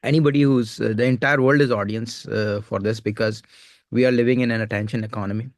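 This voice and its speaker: A man with an Indian accent hosting a recorded interview over a remote call, talking in halting stretches full of filler sounds. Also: lowish voice, fairly even intonation, no echo, studio quality. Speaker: host.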